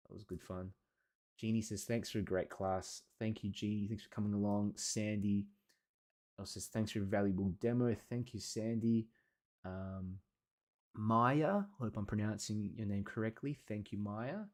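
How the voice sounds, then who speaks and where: Male live workshop host: lowish pitch, informal, encouraging, hesitant delivery with many pauses. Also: fairly even intonation, darkish tone. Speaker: watercolour teacher hosting a live workshop. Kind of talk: casual livestream chat reading and answering viewer comments.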